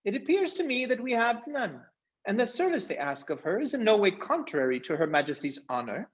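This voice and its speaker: A man's voice: sits high, big pitch swings, a little fast, muffled recording, pitched above the performer's own voice. A man voicing a brave, courtly mouse knight with formal, chivalrous speech, in a storybook reading. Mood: gallant, solemn.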